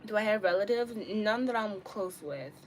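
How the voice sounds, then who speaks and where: A woman's voice: mid-range voice, animated, moderate pace. A woman in her early twenties chatting casually to live-stream viewers in a candid, slangy, rambling way. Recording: clearly noisy room ambience.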